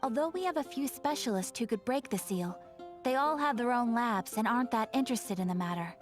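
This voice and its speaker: Actress doing polite anime guild receptionist, highish voice, composed and helpful, game music behind. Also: female voice, lively intonation, close to an everyday voice. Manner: measured, polite, formal.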